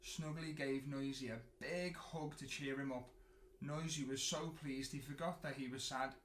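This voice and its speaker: A man reading a picture book to children in an expressive storytelling style, with dramatic pauses and drawn-out words. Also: medium pitch. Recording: roomy echo, clear background noise.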